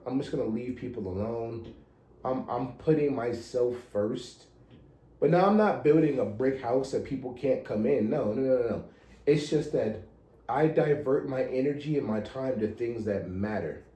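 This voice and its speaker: A man's voice: medium pitch, moderate pace, mellow, echoey room, low-quality recording. An American man in his thirties chatting casually as a podcast co-host, blunt and slangy with some swearing. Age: 30s. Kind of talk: casual chat.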